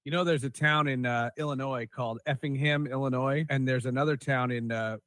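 A man's voice: mid-range voice, steady tone, a little fast, fairly bright tone, no echo. Casual male podcast host, dry close sound. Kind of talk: casual chat.

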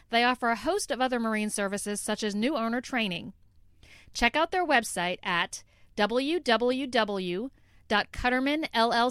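Female commercial voiceover: polished and deliberate, very clear tone, dry recording with no room sound. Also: fairly high pitch, fairly bright tone, no echo. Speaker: advertisement announcer. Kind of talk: scripted promotional narration.

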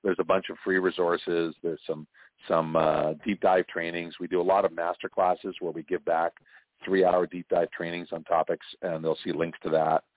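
A man's voice: low voice, flat delivery, normal speed, no room sound. A Canadian man, a guest on an interview, telling stories and joking in casual conversation over a call. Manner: chatty storyteller, jokes and laughs often. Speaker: interview guest, franchise consultant. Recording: tinny phone line.

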